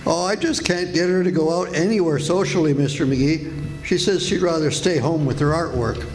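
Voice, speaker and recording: A man's voice: fairly high pitch, moderate pace, darkish tone, faint music behind, lightly acted, rough recording. An actor playing a meek, henpecked, cheerfully resigned little man in 1940s-style radio comedy, mild, polite and prim. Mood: rueful.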